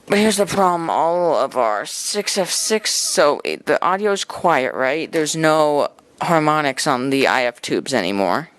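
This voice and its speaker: A man's voice: fairly high pitch, lively intonation, measured pace, a bit bright, smooth. A man talking casually while he restores an old radio, thinking aloud and making chatty asides as he works. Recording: noisy workshop recording.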